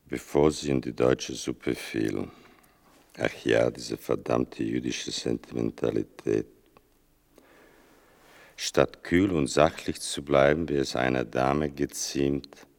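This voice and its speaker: An actor playing an older narrator in a literary radio play, gravelly and deliberate, with a bitterly ironic, mournful manner. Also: male voice, very deep voice, a little mellow, heavily acted. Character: sardonic, mournful male narrator telling his mother's wartime story.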